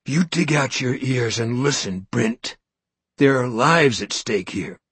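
A man doing a forceful, urgent grown man. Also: medium pitch, lively intonation, slow, breathy edge, no echo, full character voice. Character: determined, forceful grown man confronting a coward.

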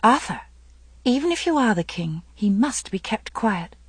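A commanding priestess in a fantasy audiobook, acted by a woman, solemn and intense. She has a husky voice and speaks slowly, with fierce conviction. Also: medium pitch, darkish tone, no echo. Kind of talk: dramatic audiobook storytelling.

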